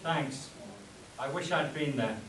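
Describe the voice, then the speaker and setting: A man's voice: a little high, slow, a crowd in the background. A man playing a stoic, heroic adventurer in a live spoof radio play. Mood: stoic, questioning. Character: Doc Savage, stoic heroic adventurer, grieving son. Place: convention hall before a live audience. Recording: echoey, distant mic, noisy room.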